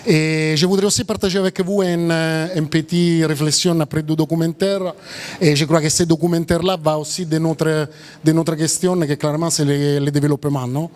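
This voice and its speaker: Italian-accented man giving a speech, kinda high voice, stops and restarts a lot. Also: level tone, darkish tone. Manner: halting, repeats words, drawn-out pauses. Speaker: cooperation official. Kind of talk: public closing remarks.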